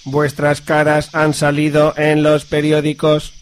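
Man as a deadpan, utterly monotone Martian. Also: on the high side, unhurried, close to an everyday voice. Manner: clipped, deadpan, robotic. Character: curt, unfeeling Martian alien crewman, pedantic. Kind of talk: comic radio serial acting.

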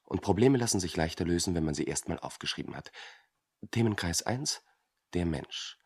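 Earnest, husky-voiced young clerk. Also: male voice, low voice, animated, moderate pace, exaggerated voice. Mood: brisk, methodical. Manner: formal, courteous, pedantic. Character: polite, earnest young male clerk, recent student. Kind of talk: German satirical radio drama acting.

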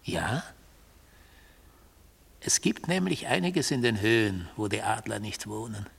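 An actor playing a stern, mystical accused man who holds forth in court in a husky, measured, disdainful voice. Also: fairly low pitch, big pitch swings, fairly bright tone, exaggerated voice. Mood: grave, obsessive. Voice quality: gravelly, husky. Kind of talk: 1970s radio drama monologue.

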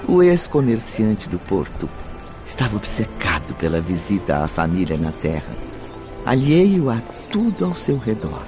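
A man's voice: lowish voice, huge pitch swings, unhurried, music in the background. A man narrating a radio drama in a solemn, formal, dramatic scripted style.

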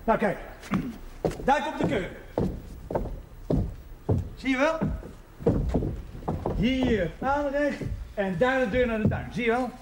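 An actor playing a warm, proud, middle-aged working-class father in a television period drama. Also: extremely high pitch, expressive, slow, pauses often, heavily acted. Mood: proud, explaining. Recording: faintly noisy 1970s television soundtrack.

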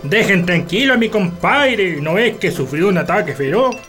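A protective, rowdy rural Chilean friend in a radio play. His voice is very high and animated, with folksy exclamations. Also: moderate pace, faint music behind. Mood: loyal, protective. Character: loyal, rowdy country drinking buddy, protective godfather type. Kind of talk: radio drama acting.

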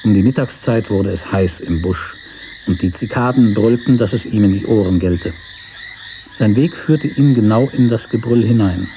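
Low, mellow male literary narrator. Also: moderate pace, a little hiss or hum. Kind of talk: literary scripted narration of a story.

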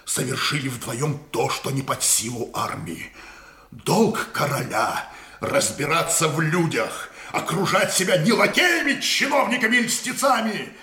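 An old musketeer captain in a radio drama, indignant and defiant before his king. His voice is bright and forceful and rings through an echoey studio. He declaims with bitter passion and very animated pitch. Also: a little slow. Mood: grave, earnest. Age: older.

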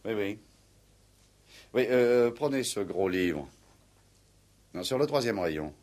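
A man playing a grave, honour-bound military commandant in a 1960s French-language radio play, speaking in a formal, measured, cryptic way. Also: on the low side, animated, a little mellow. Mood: cryptic, instructing. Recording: vintage 1960s radio drama recording.

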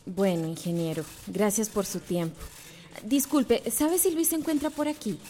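A woman's voice: mid-range voice, normal speed, dark tone, close to an everyday voice. An actress playing a determined young female schoolteacher, earnest and insistent, in a radio soap opera. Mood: firm, polite.

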